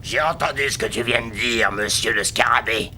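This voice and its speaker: Cartoon farrier, medium pitch, reasonable, measured, placating. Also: male voice, sharp tone, a little hiss or hum. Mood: patient, apologetic. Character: patient, reasonable male palace farrier. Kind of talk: animated cartoon dialogue.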